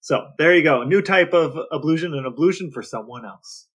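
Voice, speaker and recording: A man's voice: fairly high pitch, lively intonation, normal speed, a bit bright, slightly rough. An American man co-hosting a podcast with casual, conversational talk. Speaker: podcast co-host.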